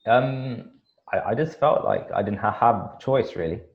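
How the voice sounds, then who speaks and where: A man in casual podcast conversation who speaks haltingly, with many fillers and repeated words. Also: medium pitch, highs cut off. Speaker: podcast guest.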